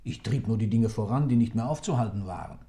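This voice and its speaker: Casting: calm, calculating storyteller for radio drama, low mellow voice, deliberate delivery. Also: male voice. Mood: calm, defensive. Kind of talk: radio play narration.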